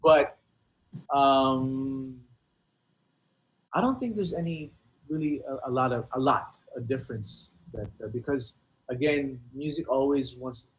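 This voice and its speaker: A man speaking casually as a music interview guest, in halting, repetitive phrases with many filler words. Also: mid-range voice, slight background noise, rough recording. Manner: halting, repetitive phrasing with frequent "you know". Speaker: guest hip hop artist.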